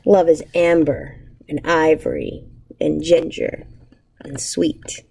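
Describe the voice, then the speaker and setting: Unhurried, slightly creaky woman reading to children. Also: low voice, fairly even intonation, warm tone, low-quality recording. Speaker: elementary school teacher. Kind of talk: picture book read-aloud for children. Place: indoors, empty school classroom.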